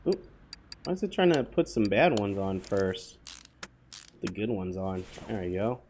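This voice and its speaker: A man with a hoarse voice from a cold gives rambling, offhand commentary while playing, talking to viewers with plenty of fillers. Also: on the low side, big pitch swings, measured pace, a little mellow, slight background noise. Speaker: gaming channel host. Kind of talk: casual gameplay commentary.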